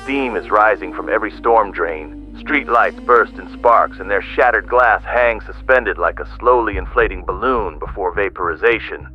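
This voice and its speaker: An actor playing a doomed, steadfast field reporter giving a grave, poetic eyewitness account in an audio drama. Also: male voice, lowish voice, moderate pace, few pauses, lo-fi sound, close to an everyday voice. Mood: grave awe. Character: doomed male field reporter witnessing the world dissolving, awestruck, steadfast. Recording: music under the voice. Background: music.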